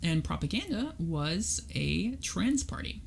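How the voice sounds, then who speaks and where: A woman in a relaxed podcast chat, her voice carrying a gravelly fry as she works through ideas point by point. She explains patiently and logically, with a faint hiss of noise in the background. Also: on the low side, slow pace. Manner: reasoned, explanatory, talks through arguments step by step. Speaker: podcast guest. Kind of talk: casual conversational interview.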